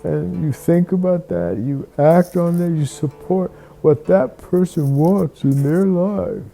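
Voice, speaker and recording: A person telling a reflective bedtime story in a slow, halting, musing way, often repeating words. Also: mid-range voice, animated, creaky, warm tone, faint music behind.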